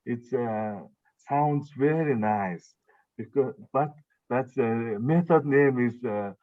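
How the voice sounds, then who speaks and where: A man asks conference questions in slow, hesitant English with non-native phrasing. His voice comes through a dry, narrow, phone-like remote line. His pitch sits at a middle level. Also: dry recording.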